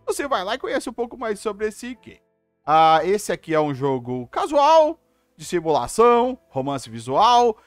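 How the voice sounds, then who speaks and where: A woman with a Brazilian accent giving casual, expressive gameplay commentary and reading dialogue aloud as host. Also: mid-range voice, measured pace, dry recording, faint music behind. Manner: expressive, informal.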